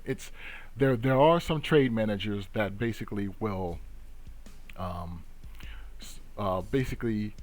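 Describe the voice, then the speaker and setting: A man explains things off the cuff as a tutorial host, halting and repeating himself with many hesitations. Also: on the low side. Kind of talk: unscripted explanatory screen tutorial. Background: music.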